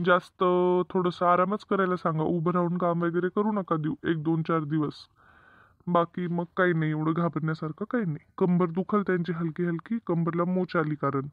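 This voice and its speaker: A man's voice: sits high, fairly even intonation, normal speed, no room sound, natural voice. A man voicing a practical, reassuring village doctor in an amateur cartoon dub with dull lo-fi sound. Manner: reassuring, repetitive, matter-of-fact.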